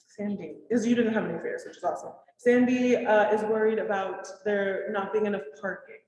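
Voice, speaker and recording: A woman leading a public meeting, speaking loudly as she summarizes what each person said. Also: mid-range voice, fairly even intonation, brisk. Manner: loud, summarizing others' points. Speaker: meeting facilitator. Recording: echoey hall, voice from far off.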